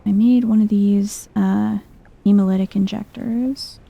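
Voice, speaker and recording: Casting: woman, soft-spoken game streamer, mellow with vocal fry, low-key and wry, some background noise. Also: steady tone, unhurried. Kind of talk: soft-spoken ASMR let's play commentary.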